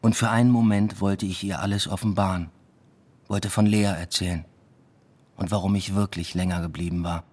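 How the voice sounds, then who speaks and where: Low-voiced, introspective academic narrator. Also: male voice, steady tone, moderate pace, a little mellow. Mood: subdued, weary. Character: weary, introspective male literature academic and stepfather. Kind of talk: radio drama first-person narration and dialogue.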